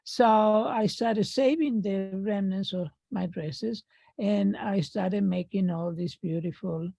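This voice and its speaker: A woman in her sixties from Argentina with an Argentine Spanish accent, speaking slowly on a video call and pausing often with fillers as she tells her story. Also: medium pitch, vocal fry, mellow, dry recording. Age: 60s. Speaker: artist guest. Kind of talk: remote panel talk. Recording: dull lo-fi video call audio.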